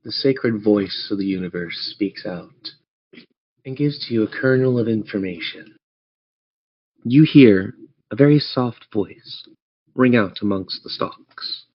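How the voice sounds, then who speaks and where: A man with a fairly low voice, heard through slightly muffled lo-fi audio. He is a game master narrating a tabletop adventure, setting scenes with measured, theatrical storytelling. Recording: dull lo-fi audio.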